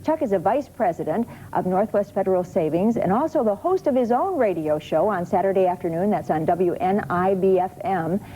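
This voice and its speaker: A woman's voice: mid-range voice, expressive, brisk, hardly any pauses, warm tone. An American woman co-hosting a talk show in a conversational interviewing style. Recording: muffled 1970s TV recording, clear noise.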